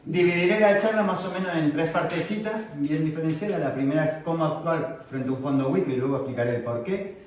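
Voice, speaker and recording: A man's voice: on the high side, fairly quick, slight background noise. A man from Argentina with an Argentine accent, giving a friendly, conversational talk to an audience as guest speaker. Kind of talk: informal lecture to an audience. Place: meeting hall. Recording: very echoey hall, distant mic.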